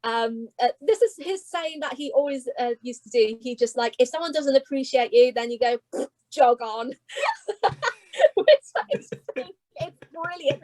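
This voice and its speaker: A woman's voice: sits high, a little mellow, low-quality recording. A woman hosting an online panel, chatty and upbeat, often restarting her sentences. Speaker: panel host.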